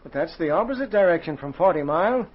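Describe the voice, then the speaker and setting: Casting: authoritative senior inspector, 1940s radio drama; high-pitched voice, dull vintage recording. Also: male voice, lively intonation, fast, husky. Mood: brisk, puzzled.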